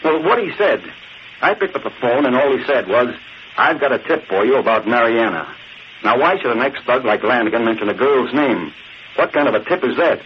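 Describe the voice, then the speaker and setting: A wisecracking male reporter from an old radio serial played by an actor, slangy and excitable, talking very fast. The recording is muffled with some hiss. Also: mid-range voice, lightly acted. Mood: puzzled. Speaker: newspaper reporter character. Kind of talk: 1940s radio acting.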